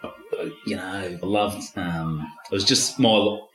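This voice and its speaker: A man's voice: low voice, steady tone, measured pace, fairly bright tone, echoey room, soft background music, low-quality recording. A middle-aged Australian man, a stand-up comedian, chatting casually in an interview and rambling with a self-mocking manner. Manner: rambling, loses track, acts out voices.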